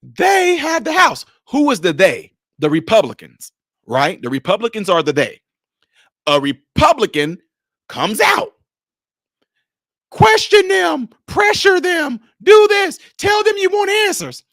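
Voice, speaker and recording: A man with a high voice gives live-stream commentary on a clip he keeps pausing. He talks with emphatic, rhetorical energy. The sound is dry and close, with little room tone. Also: no room sound. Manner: animated, emphatic, rhetorical questions. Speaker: commentator reacting to a video clip.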